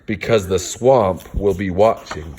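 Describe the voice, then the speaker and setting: A man's voice: deep voice, slow pace, dark tone. A man reading a storybook, voicing a bossy, menacing substitute teacher woman with a scratchy voice.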